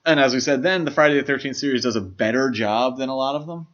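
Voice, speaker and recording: A man's voice: medium pitch, fast, fairly bright tone, muffled recording. A man co-hosting a podcast, chatting casually about films in a jokey, opinionated way. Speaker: podcast co-host. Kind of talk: casual film discussion.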